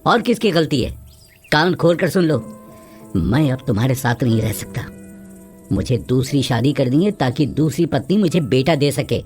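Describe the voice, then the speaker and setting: A woman's voice: extremely low pitch, expressive, moderate pace, a little mellow, faint music behind, pitched below the performer's own voice. A woman voicing a selfish, callous husband in a cartoon story, with a blunt manner. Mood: angry, cold. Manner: blunt, scolding.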